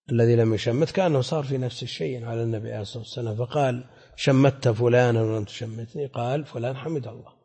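In a reverberant hall, a man gives an explanatory religious lecture. His voice is mellow and husky, at a medium pitch. He teaches conversationally, often turning to pose questions to his class. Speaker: Islamic scholar teaching a hadith class. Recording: echoey hall with long reverb.

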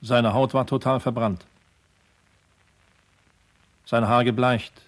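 A man playing a sober first officer of a freighter, giving measured, factual testimony in a radio play. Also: medium pitch, flat delivery, close to an everyday voice. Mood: sober, grave. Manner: measured, factual, short declarative sentences.

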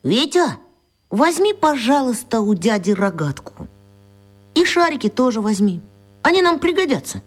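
A woman's voice: fairly high pitch, big pitch swings, moderate pace. A woman voicing a plain-spoken, cheeky and slightly awkward young schoolboy in a children's radio play. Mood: cool, mocking.

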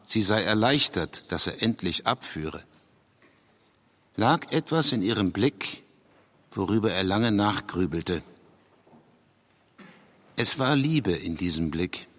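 A deep, husky brooding male writer. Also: lively intonation, slow pace, pauses often, fairly bright tone, full character voice. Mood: brooding, tender. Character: male writer, taciturn, hesitant, brooding poet. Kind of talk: radio drama, literary reading and interview. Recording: long hall-like reverb.

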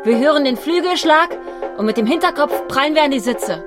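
A woman's voice: sits high, animated, brisk. A woman acting in a literary radio drama, narrating descriptively as a fatalistic young lover. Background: music with instruments.

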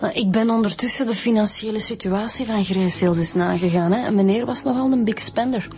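A woman's voice: mid-range voice, fairly quick, darkish tone, lightly acted. An actress playing a precise female police inspector in a radio drama, polite and factual. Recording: faint music behind.

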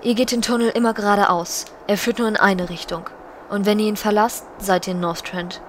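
A woman's voice: mid-range voice, steady tone, a little fast, a little hiss or hum, close to an everyday voice. A woman playing a regal, solemn, commanding undead banshee queen in an audio drama, speaking in a stately, formal way. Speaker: voice actor in a parody audio drama.

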